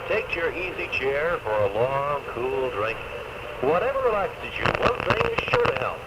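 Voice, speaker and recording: Male commercial announcer with lively, varied pitch, slightly distant on a noisy vintage AM radio taping. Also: normal speed. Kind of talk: scripted radio advertisement.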